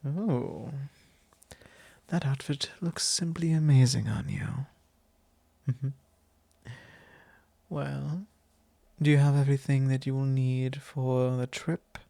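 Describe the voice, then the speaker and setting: A man voicing a doting, possessive vampire lord in an ASMR roleplay, with soft, genteel phrasing that slips into breathy whispers, close on the mic. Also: mid-range voice, dry recording. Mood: attentive, protective. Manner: soft, drawn-out, genteel old-fashioned phrasing. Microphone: close microphone.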